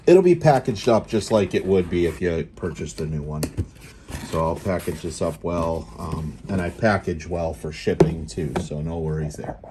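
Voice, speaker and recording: A man with a low voice talks through an item he is selling in a casual, unscripted way. His delivery is plain and halting, with frequent pauses. There is clear noise behind him on the homemade video recording. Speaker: auction seller demonstrating radio gear. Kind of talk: casual unscripted product demo.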